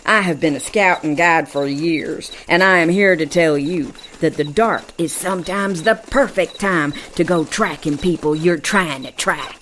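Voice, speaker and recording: A woman's voice: fairly low pitch, a little slow, hardly any pauses, fairly bright tone. Woman playing a bold frontier scout, faint background noise. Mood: confident. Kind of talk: western audio drama for children.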